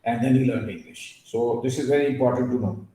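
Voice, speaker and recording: A man giving an earnest, emphatic webinar talk, drifting into asides and filling pauses with "uh". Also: medium pitch, mellow. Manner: emphatic, digressive, with frequent fillers. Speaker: guest speaker on a webinar. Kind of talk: earnest lecture with personal asides. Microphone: video call. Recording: very echoey room over a call.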